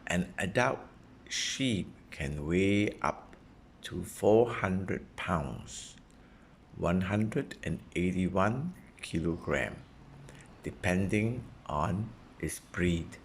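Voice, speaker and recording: A man reading a children's nonfiction book aloud as a parent would, in a deliberate way suited to a young child. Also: sits low, expressive, a little hiss or hum. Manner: deliberate, repeating and simplifying phrases for a child.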